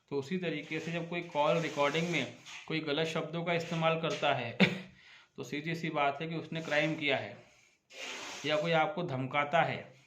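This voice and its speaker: Higher-voiced man, echoey room. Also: fairly bright tone, a little hiss or hum. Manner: argumentative, emphatic, poses rhetorical questions. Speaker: advocate giving legal advice as channel host. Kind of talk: informal legal explainer addressed to viewers. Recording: echoey, roomy sound.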